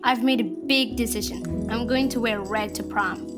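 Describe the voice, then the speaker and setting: A woman's voice: fairly high pitch, normal speed, natural voice. A young woman playing a pushy, encouraging teenage girl, the best friend in a student audio drama, insistent and coaxing. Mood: eager, nosy. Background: music behind the voice.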